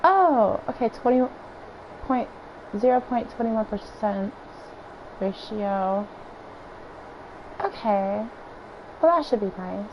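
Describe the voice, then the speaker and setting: A hoarse woman with a raspy voice, chatting and thinking aloud in casual gameplay commentary as she streams. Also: mid-range voice, animated, slow pace, pauses often. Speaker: game streamer. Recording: dull lo-fi stream audio with faint noise.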